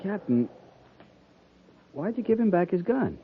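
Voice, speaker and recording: A man's voice: sits high, sing-song, a little slow, full character voice. An American man playing a dutiful, hesitant cavalry lieutenant who speaks deferentially, in 1950s radio drama style. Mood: puzzled. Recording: dull, noisy 1950s radio recording.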